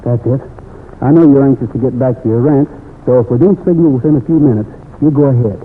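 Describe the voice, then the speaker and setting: An actor playing a confident young cowboy hero in an old radio western, American accent, plainspoken and take-charge. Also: male voice, mid-range voice, fast talker, close to an everyday voice. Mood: alert. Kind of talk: 1940s-50s radio western acting. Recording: noisy, tinny old radio recording.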